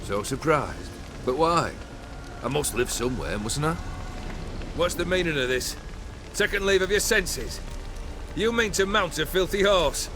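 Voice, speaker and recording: A man's voice: fairly high pitch, animated, a little slow, background noise. An actor playing an imperious, mercurial bandit nobleman in a video game, taunting and theatrical in his delivery. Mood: scornful, angry. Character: haughty, mercurial male bandit nobleman, mocking and commanding.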